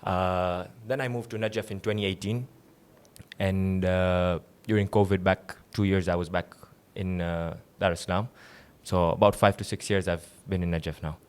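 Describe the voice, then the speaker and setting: Young male guest from Tanzania, low-pitched and easygoing, recounting his path with a smile. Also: normal speed, clear, resonant tone. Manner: relaxed storytelling with light laughs. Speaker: guest, seminary student. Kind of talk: casual interview.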